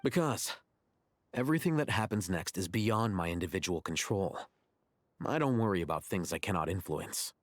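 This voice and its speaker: A man's voice: deep voice, expressive, moderate pace, dry recording. An actor playing a composed young male scholar in a game cutscene, dry and matter-of-fact. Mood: calm, dry.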